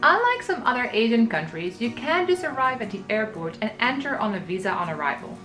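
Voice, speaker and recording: Woman from the Netherlands, thirties, travel vlogger, slightly high pitch, faint music behind. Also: big pitch swings, brisk, a bit bright, low-quality recording. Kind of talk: scripted travel tips vlog.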